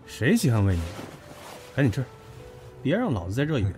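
An actor in an audio drama playing a brash, possessive younger businessman, a blunt, cocky and teasing lover who bickers gruffly. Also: medium pitch, huge pitch swings, fairly quick, mellow, soft background music. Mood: scolding, gruff.

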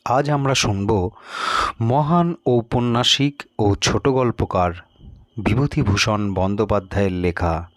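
A man narrating a scripted story in a measured, expressive storytelling manner. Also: on the low side, no room sound, clean studio sound. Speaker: audiobook narrator.

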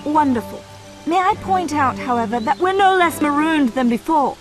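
A woman's voice: high voice, expressive, moderate pace, loud music behind the voice. An actress playing a spirited, haughty young lady adventurer in a video game, prim, tart and commanding. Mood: exasperated. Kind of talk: scripted video game cutscene acting.

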